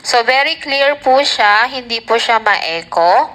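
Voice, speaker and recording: A woman with a Filipino accent giving a casual, chatty product demo and sales pitch, often addressing her viewers directly. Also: expressive, takes it slowly, bright, forceful tone, low-quality recording. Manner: chatty, repeats filler tags and addresses viewers. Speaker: product reviewer and live seller.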